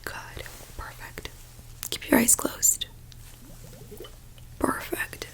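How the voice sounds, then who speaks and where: A woman doing a soft-spoken ASMR doctor roleplay in a breathy whisper, gently giving reassuring instructions close to the mic. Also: drawn-out words, poor audio. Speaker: ASMR performer playing a doctor.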